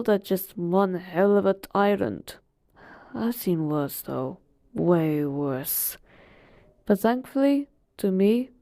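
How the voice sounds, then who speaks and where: A woman's voice: lowish voice, warm tone, no echo, studio quality. A woman voicing an ancient, all-powerful genie who talks casually and teasingly to a master in a roleplay monologue. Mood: reflective. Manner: casual, teasing, conversational asides. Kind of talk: in-character roleplay monologue to the listener.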